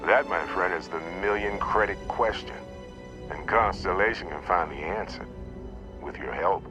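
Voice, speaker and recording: A man's voice: low voice, normal speed, music in the background, lightly acted. An actor playing a genial, talkative male space explorer in a video game, with a jaunty, wry, easygoing manner. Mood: earnest, musing. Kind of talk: video game cutscene acting.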